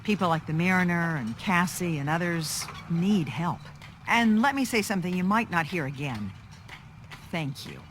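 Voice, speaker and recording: A weary, level-headed woman who leads a harbor town. She speaks firmly and plainly in a measured way. A little ambient noise runs underneath. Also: lowish voice, expressive, a bit bright. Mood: advising, earnest. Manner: measured, firm, plain-spoken. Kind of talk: video game character acting.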